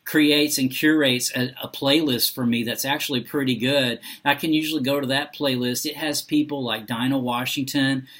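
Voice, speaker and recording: A man talking casually and unscripted as a vlogger, halting often as he searches for his words. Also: mid-range voice, steady tone, a bit bright. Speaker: book and reading vlogger. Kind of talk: casual unscripted vlog talk.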